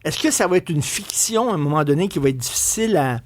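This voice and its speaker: A man with a Québécois accent hosting a talk radio interview. His voice sits a little high and sounds dry and close on a studio microphone. He questions in a probing, conversational way, often restarting his sentences. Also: expressive, quick pace, no room sound. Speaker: radio host.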